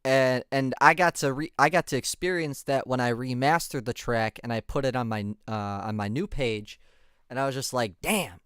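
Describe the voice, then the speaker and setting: A man's voice: mid-range voice, normal speed, a bit bright, clear, resonant tone, dry recording, studio quality. A man in his twenties giving laid-back, chatty reaction commentary on music. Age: 20s.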